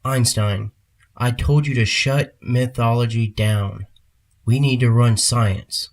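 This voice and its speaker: A man's voice: mid-range voice, steady tone, slow. A man playing a stern, commanding boss in an audio drama. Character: stern male boss ordering a scientist around.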